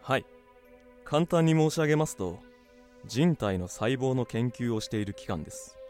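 A man's voice: mid-range voice, expressive, moderate pace, darkish tone, natural voice. Polite male institute director over faint music actor. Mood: polite, composed. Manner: very polite, formal. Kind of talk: Japanese amateur voice drama acting.

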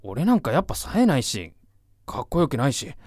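An actor playing an insecure, earnest young college student in love, with hesitant, emotional boyish speech in a Japanese voice drama. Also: male voice, medium pitch, big pitch swings, fairly quick, a little mellow, no room sound, studio quality. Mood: hesitant, embarrassed. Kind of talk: Japanese audio drama acting.

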